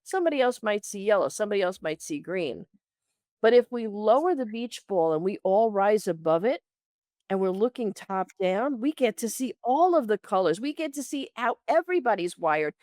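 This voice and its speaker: A woman from the Bronx speaks as a coach being interviewed, in a mid-pitched voice with a very dry sound. Her talk is conversational, and she slips into imagined quotes to make her points. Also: sing-song, normal speed, a little mellow, no room sound. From the Bronx, New York, United States. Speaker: interview guest, leadership coach.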